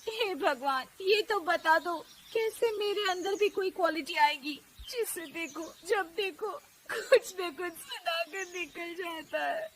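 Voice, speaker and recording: A woman voicing a put-upon but cheeky young daughter-in-law in an animated story, with exaggerated delivery. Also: high voice, a little slow, few pauses, a little hiss or hum. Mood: plaintive. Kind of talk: animated moral story acting.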